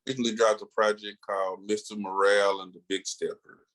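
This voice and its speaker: Man, lowish voice, laid-back slangy delivery, casual podcast co-host chat. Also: flat delivery, brisk, fairly bright tone, rough recording.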